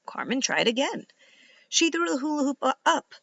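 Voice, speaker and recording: A woman reading a children's book aloud like a teacher, in an expressive, deliberate and instructive way. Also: high voice, creaky, no room sound, muffled recording. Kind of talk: animated read-aloud narration for young children.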